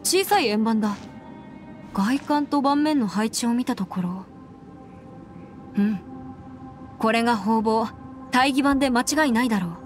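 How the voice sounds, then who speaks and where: Actress doing composed young anime game woman, kinda high voice, earnest n matter-of-fact, music under it. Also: a little mellow, natural voice. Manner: crisp, mannish, matter-of-fact phrasing. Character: composed, earnest young woman legal adviser trained in martial arts.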